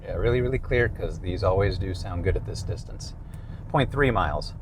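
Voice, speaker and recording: A man's voice: low voice, expressive, moderate pace, slight background noise. A man presenting a product range test in a casual, conversational way from inside a car.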